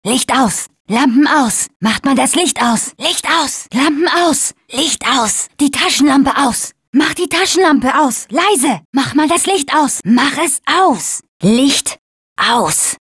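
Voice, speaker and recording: A woman's voice: high voice, animated, slow, fairly bright tone, dry recording, studio quality, exaggerated voice. An actress playing a tough young woman survivor in a dubbed zombie video game, speaking in short, urgent callouts.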